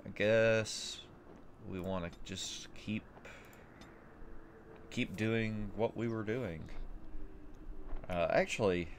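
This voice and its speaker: Male let's-play host, very low voice, stuffy nose, rambling chatter, faint noise behind. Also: vocal fry. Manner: rambling, repeats words as he thinks. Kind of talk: casual gameplay commentary.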